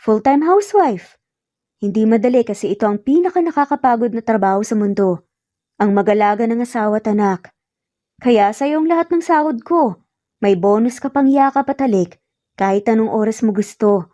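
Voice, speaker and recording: A woman plays an earnest young man in love from a romance audio story. He is gentle, teasing and sincere, mixing in English. The voice is mellow and dry. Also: on the high side, lively intonation, moderate pace, dry recording, natural voice.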